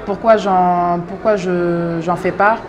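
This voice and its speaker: A woman in her thirties from France, a clothing brand founder, talking through her story thoughtfully with many pauses and restarts. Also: lowish voice, fairly even intonation, a crowd in the background. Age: 30s. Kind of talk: interview monologue.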